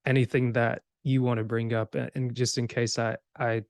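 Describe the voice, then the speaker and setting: A man's voice: mid-range voice, flat delivery, normal speed, creaky, no echo, studio quality. A man from Cameroon who grew up in Georgia, hosting a podcast in an informal, rambling way that slips into imagined remarks. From Cameroon, grew up in Georgia, USA. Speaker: podcast host. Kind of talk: casual podcast conversation.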